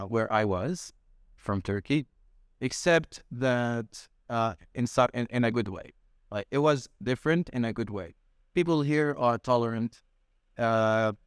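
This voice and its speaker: A man's voice: medium pitch, clear, resonant tone, no echo, studio quality. A young man from Palestine who owns a restaurant, telling his story in an interview and often restarting mid-sentence as he hunts for words. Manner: searching for words, frequent restarts. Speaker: restaurant owner. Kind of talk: casual interview. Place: small restaurant room.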